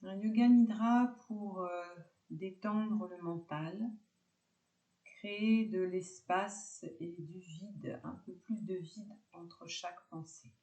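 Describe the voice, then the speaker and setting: Slow, low-voiced woman guiding relaxation. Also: roomy sound, muffled recording. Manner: calm instructions in short, separated phrases. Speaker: yoga nidra teacher. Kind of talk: guided relaxation meditation.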